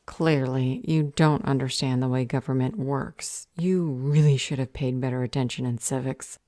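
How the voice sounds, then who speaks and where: A woman playing a stern, bored council official in a fantasy audio drama, deadpan, clipped and sarcastic. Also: extremely low pitch, normal speed, no echo, studio quality. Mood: sarcastic, curt.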